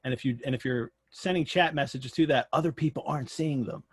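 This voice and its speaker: A man's voice: medium pitch, fairly quick, husky. A man hosting an online question and answer session in a casual, informal way, restarting his phrases. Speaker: host of an online Q&A. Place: remote video call.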